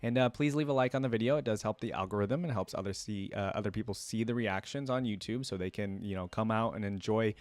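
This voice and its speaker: A man's voice: fairly low pitch, fast, few pauses, no echo. A man hosting a reaction video, chatting casually and unscripted to viewers in a rambling, conversational way. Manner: rambling, conversational, often seeks agreement.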